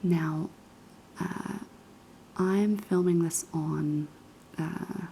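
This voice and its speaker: A young woman with a New Zealand accent whispering unhurried ASMR chat in a kitchen, her voice raspy and hoarse after being sick. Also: lowish voice, fairly even intonation, a little hiss or hum, rough recording. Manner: soft, unhurried whispering with many ums. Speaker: ASMR creator. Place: kitchen of a quiet flat.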